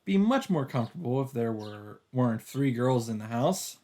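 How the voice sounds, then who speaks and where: A man's voice: medium pitch, expressive, normal speed, a little mellow. A man hosting a let's play, reading story narration aloud expressively with occasional casual asides. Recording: music under the voice.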